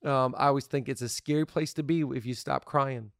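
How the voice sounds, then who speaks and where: A man's voice: mid-range voice, steady tone, fairly quick, no room sound, clean studio sound. A man hosting a casual podcast on leadership, upbeat and playful, laughing easily with his co-host.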